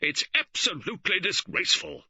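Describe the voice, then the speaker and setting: Man doing a blustering pompous gentleman. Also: on the low side, huge pitch swings, normal speed, slightly rough, dry recording, heavily acted. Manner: blustering, indignant, spluttering. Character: pompous middle-aged man, blustering and easily angered. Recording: dull, dry studio recording.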